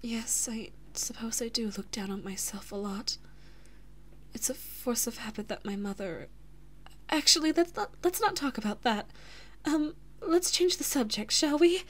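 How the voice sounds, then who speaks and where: A woman playing a devoted, anxious big-sister princess and lover in an intimate audio roleplay, speaking softly and haltingly with breathy, emotional whispers. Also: a little high. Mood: flustered, reluctant.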